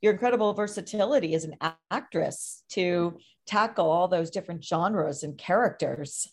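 Low-pitched woman interviewer. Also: moderate pace. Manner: attentive, encouraging with brief backchannels.

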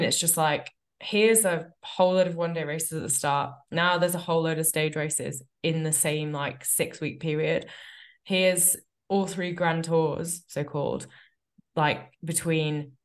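A woman with a stuffy, nasal voice from a cold talks casually as a podcast co-host. Her pitch sits a little low and her tone is wry and chatty, with loose fillers between thoughts. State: congested with a cold. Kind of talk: casual chat.

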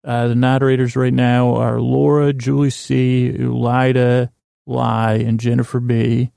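A man hosting a bedtime podcast, talking in a halting, meandering, self-deprecating monologue. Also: mid-range voice, little pitch movement, gravelly, warm tone, clear, resonant tone, dry recording, studio quality. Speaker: podcast host.

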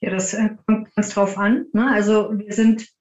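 A woman's voice: mid-range voice, flat delivery, moderate pace, a little mellow, highs cut off. A woman speaking as a podcast guest, explaining her work in detailed, conversational answers. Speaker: podcast guest, paranormal investigator.

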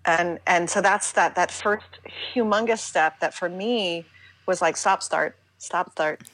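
A middle-aged American woman speaking reflectively as an interview guest, halting and emphatic, often acting out her own inner dialogue. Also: fairly low pitch, a bit bright, no echo. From United States, Pittsburgh, Pennsylvania.

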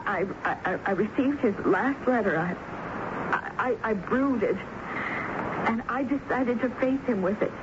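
A scorned, defiant woman played by a radio actress, speaking slowly with dramatic, emphatic pauses. Her voice is high and impassioned, heard through a noisy old radio broadcast with a narrow sound. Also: natural voice. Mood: brooding, anguished. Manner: theatrical, halting, emphatic phrasing. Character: jilted, defiant woman confessing to murder, proud and impassioned. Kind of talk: vintage radio drama acting.

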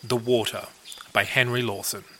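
A man's voice: medium pitch, steady tone, moderate pace, pushed and bright. A man reading poetry aloud in a recitation style. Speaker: poetry reader. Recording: faint background noise.

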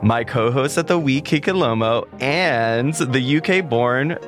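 A man's voice: mid-range voice, takes it slowly, a bit bright. A man from California hosting a music and culture podcast in a studio, reading his script in an upbeat conversational way and then chatting with a guest. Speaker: podcast host. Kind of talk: scripted podcast narration and interview.

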